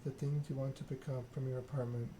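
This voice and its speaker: A man softly narrating a story game in ASMR style, reading in a measured, deliberate way. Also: mid-range voice, level tone. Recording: clearly noisy background ambience.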